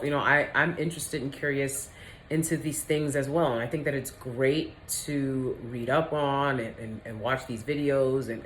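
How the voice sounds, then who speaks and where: An American woman with a low-toned voice, talking candidly and colloquially to viewers in a casual opinion vlog. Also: slight background noise. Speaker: video host. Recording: roomy, echoey indoor sound.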